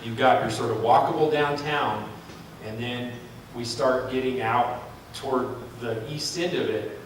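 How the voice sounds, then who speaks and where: Man, consultant giving a talk, halting ums and restarts, distant mic, roomy hall, background noise. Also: mid-range voice. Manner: thinking aloud, frequent ums and restarts. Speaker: consultant presenting a market analysis. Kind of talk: public presentation with Q&A. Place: large room at a public forum. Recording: echoey hall, far from mic, noisy.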